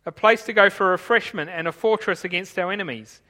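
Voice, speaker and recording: High, bright-voiced man preaching in a hall. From Tanzania. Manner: measured, explanatory, reading from notes. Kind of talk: sermon.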